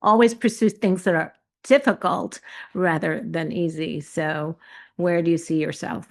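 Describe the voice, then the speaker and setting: Lady interviewer, lowish voice, short encouraging bits. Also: fairly even intonation, measured pace, creaky, a little mellow. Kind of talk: interview questions.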